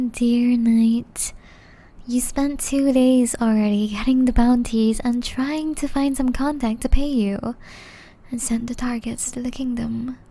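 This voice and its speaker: A woman acts out a gentle, ageless witch exiled to the forest, caring and wistful. Her voice sits fairly high yet stays soft, dark-toned and breathy, often slipping into a whisper. She takes her time, pleading tenderly in an intimate ASMR roleplay style. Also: fairly even intonation. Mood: worried scolding. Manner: slow, tender, earnest pleading.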